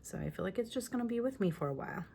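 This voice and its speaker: A woman with a lingering raspy voice talking casually through a scrapbooking tutorial at home, with chatty, apologetic asides while she works. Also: mid-range voice, expressive, rapid-fire, dark tone. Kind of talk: casual crafting tutorial talk. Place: home craft room. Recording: faint room noise from fan. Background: fan running.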